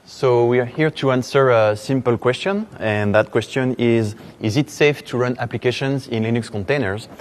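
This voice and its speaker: A man's voice: mid-range voice, moderate pace, hardly any pauses. A man with a thick French accent giving a conversational technical conference talk, with many fillers and dry self-deprecating jokes. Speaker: conference speaker. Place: conference hall.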